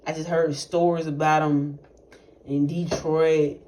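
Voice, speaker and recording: A man's voice: a little high, level tone, slow pace, a little mellow. A young man speaking tells a personal testimony in casual, rambling, profane talk, full of fillers and restarts. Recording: noisy recording with clicks.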